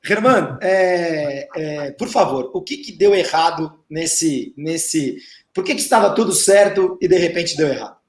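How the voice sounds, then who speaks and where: A man's voice: fairly high pitch, animated, echoey room. A man co-hosting a panel discussion who jokes and steers the talk between guests. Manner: joking, brisk moderator who steers the talk. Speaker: co-host columnist.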